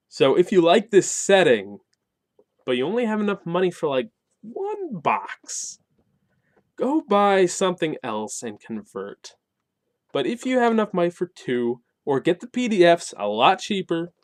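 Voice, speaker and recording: Male reviewer, mid-pitched, very lively intonation, informal, emphatic, dry close sound. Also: dry recording. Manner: informal, colloquial, emphatic on key points. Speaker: game review channel host. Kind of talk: casual unscripted video review.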